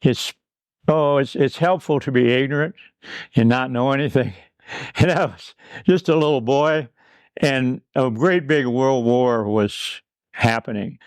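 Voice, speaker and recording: An older man from Pine Ridge, South Dakota, spinning rambling memories with dry humor and a chuckle. His voice sits at a middle pitch. The recording is close and dry, with no room sound. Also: a little slow, clear, resonant tone, dry recording. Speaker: interview guest. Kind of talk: reminiscing in a recorded conversation.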